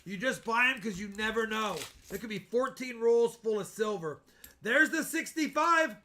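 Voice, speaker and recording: Brief: fifty-year-old male streamer, high bright voice, chatty and excitable, talks to chat by name. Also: normal speed, a little hiss or hum. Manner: excited, chatty, keeps talking to viewers by name. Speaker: coin-hunting live streamer. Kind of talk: casual live-stream chat while searching coin rolls.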